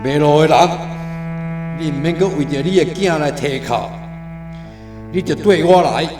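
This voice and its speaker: An actor with a Taiwanese accent playing a domineering king of a dark realm in a radio drama, speaking in a commanding, oratorical way. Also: fairly high pitch, moderate pace, natural voice. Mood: stern. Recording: echoey studio with music behind.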